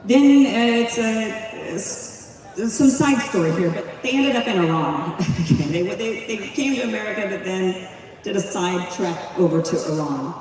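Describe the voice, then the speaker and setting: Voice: mid-range voice, lively intonation, measured pace, darkish tone. A speaker giving a rousing public speech at a community event, asking many rhetorical questions. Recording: echoey hall, voice from far off.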